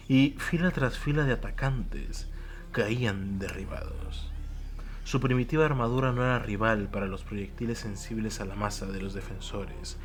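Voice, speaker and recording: A man's voice: fairly low pitch, fairly bright tone, a little hiss or hum. A man giving a solemn, theatrical scripted narration, as in a dramatised audiobook. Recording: music bed behind the voice. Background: music.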